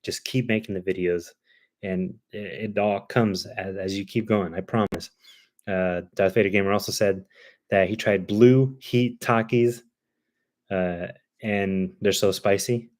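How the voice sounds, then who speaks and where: Cuban accent guy hosting a stream, kinda low voice, lots of ums, just chatting. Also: steady tone, measured pace, darkish tone. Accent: Cuban Spanish. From Cuba. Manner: friendly, rambling with many ums and uhs. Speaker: livestream host. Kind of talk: casual chat.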